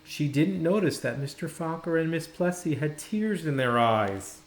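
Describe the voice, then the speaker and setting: A man narrating a children's storybook expressively, with dramatic, deliberate phrasing and pauses. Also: fairly high pitch, expressive. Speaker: storybook reader. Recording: roomy, echoing indoor sound.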